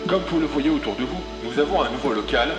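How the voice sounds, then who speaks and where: Man acting radical group leader, commanding, self-assured, echoing room, loud music bed, audio drama. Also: medium pitch, animated, quick pace, natural voice. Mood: brisk, proud. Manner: commanding, speechifying. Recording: roomy echo, loud music underneath.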